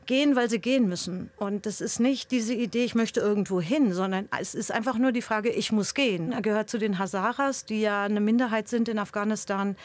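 A woman in a documentary interview, explaining in a measured way. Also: medium pitch.

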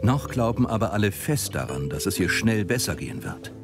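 Low-voiced male documentary narrator over music. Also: big pitch swings, brisk. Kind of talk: scripted dramatic medical documentary narration. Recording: prominent music behind the voice-over. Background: music bed.

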